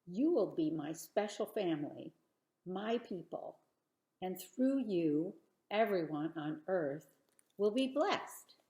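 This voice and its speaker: A woman voicing God as a loving, playful storybook character, speaking in a grand, deliberate and emphatic way. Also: fairly low pitch, lively intonation, warm tone, reverberant. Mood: solemn promise.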